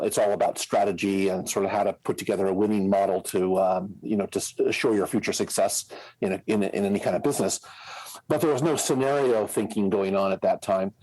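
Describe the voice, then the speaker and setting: A man's voice: lowish voice, a little mellow, poor audio. An American man in his sixties with a Midwestern accent, talking casually as an interview guest, rambling with many fillers and restarts. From United States. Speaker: guest, scenario planner.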